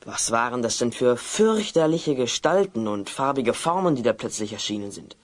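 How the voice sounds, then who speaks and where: An actor playing a bewildered, indignant companion in an audio drama, exclaiming in puzzlement. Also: male voice, medium pitch, animated, moderate pace, lightly acted. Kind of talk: German audio drama acting.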